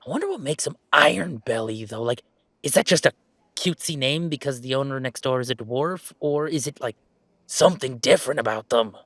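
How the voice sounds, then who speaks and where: A man playing an earnest, playful young werewolf gamer in an intimate, conversational roleplay audio, speaking in a halting, emphatic way. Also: medium pitch, no room sound. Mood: curious, relaxed. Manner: halting, emphatic, expressive, with sighs and chuckles.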